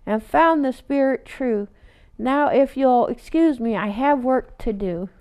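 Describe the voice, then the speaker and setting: A woman's voice: sits high, a little slow, mellow, clean tone. A woman voicing a kindly, jolly old male Santa bear from a children's storybook, in a cheery proclaiming manner. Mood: jubilant.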